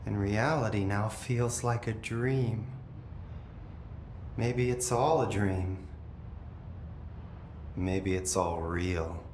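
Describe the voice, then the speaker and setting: Slow, eerily calm possessed brother. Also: male voice. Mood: eerie, musing. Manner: slow, detached, deliberate storytelling with lingering pauses. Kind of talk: dramatic video game acting. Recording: echoey room, noticeable low rumbling noise.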